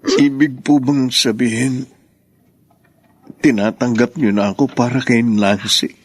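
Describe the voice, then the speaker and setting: An actor playing a gentle, forgiving husband in a radio drama, speaking earnestly and respectfully. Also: male voice, mid-range voice, steady tone, unhurried, no room sound, natural voice. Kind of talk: Tagalog radio drama acting.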